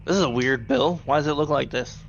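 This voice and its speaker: A man's voice: medium pitch, fast talker, creaky, highs cut off, lightly acted. A man voicing a puzzled but helpful 1930s bartender in a tabletop game, a little hesitant.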